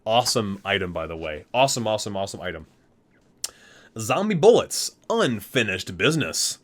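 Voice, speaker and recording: A man's voice: fairly low pitch, sing-song, moderate pace. A man doing an ASMR reading, reciting expressively and dropping in casual, murmured offhand remarks. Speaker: video creator reading aloud from a game guide book.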